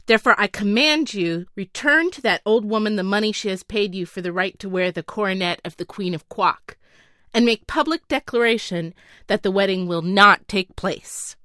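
A woman's voice: mid-range voice, moderate pace, pushed and bright, no room sound, clean studio sound, close to an everyday voice. A woman voicing a young boy king who is polite but firm and commanding, in a storybook reading.

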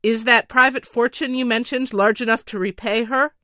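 A woman's voice: on the high side, fairly even intonation, moderate pace, sharp tone, no echo, studio quality, voice pushed up. A woman voicing a frightened, guilty male royal counselor who speaks in a shaking voice, in a storybook reading. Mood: anxious. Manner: shaking, pleading voice.

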